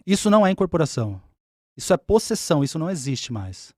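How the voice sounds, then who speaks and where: Higher-voiced man explaining patiently. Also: sing-song, fast talker, dry recording, studio quality. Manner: explanatory, patient, repeats points. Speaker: umbanda spiritual leader and guest. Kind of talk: podcast interview explanation.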